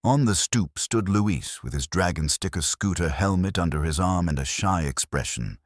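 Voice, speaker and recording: Man, audiobook narrator, very deep husky voice, wry and measured first-person detective story, dry close sound. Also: lively intonation, dry recording.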